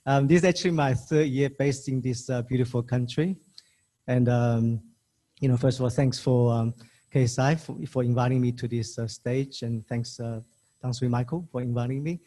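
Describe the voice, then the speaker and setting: A man's voice: mid-range voice, fairly even intonation, moderate pace, mellow. A man from Shanghai, China, speaking as a panelist at a business forum, conversational and peppered with "you know" and "right". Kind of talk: panel talk.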